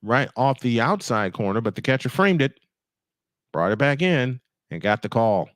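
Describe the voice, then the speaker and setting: A man's voice: mid-range voice, very animated, moderate pace, fairly bright tone, no echo, clean studio sound. A man doing live baseball play-by-play on a stream, mixing in casual chat and rambling as he thinks aloud.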